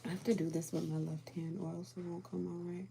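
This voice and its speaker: A calm, soothing woman chatting casually with viewers as she does her makeup, often dropping to a whisper. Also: lowish voice, fast, creaky, very noisy. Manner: calm, soothing, soft-spoken; often drops to a whisper while applying makeup. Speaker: beauty livestream host.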